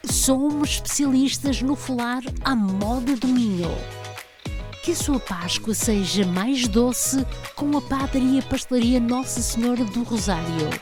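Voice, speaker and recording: A woman's voice: on the high side, unhurried. A woman reading a scripted commercial voiceover in a cheerful, promotional way. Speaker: ad announcer. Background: music.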